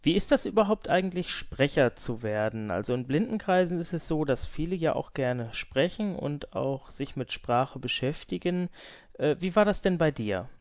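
A man with a slightly high voice hosts an interview in a dry, close recording. He puts his questions conversationally. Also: lively intonation, normal speed, no echo. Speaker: interviewer, show host.

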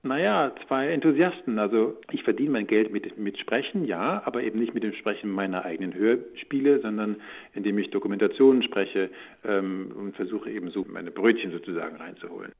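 Husky man on a phone-like line. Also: fairly low pitch, a little fast. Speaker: audio drama producer and voice-over speaker. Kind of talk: casual interview answers.

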